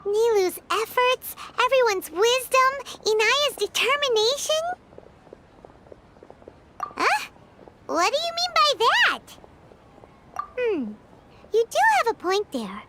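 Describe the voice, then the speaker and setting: A woman voicing a small, childlike fairy companion in a video game, squeaky, chatty and curious. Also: very animated, takes it slowly, long pauses, heavy background noise, heavily acted. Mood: musing. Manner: refers to self by name, exclamatory. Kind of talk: video game character acting.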